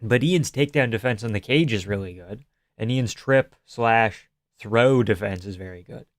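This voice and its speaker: A man's voice: fairly low pitch, moderate pace, no room sound, clean studio sound. A young man from Canada hosting a live stream, chatting casually and sarcastically as he reads out viewer comments and swears freely.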